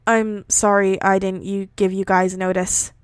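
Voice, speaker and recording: A woman's voice: medium pitch, flat delivery, measured pace, smooth, no echo, natural voice. Female reader as weary new guardian in an audio story, male, grieving, earnest, apologetic; dry sound. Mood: pained apology. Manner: pained, earnest.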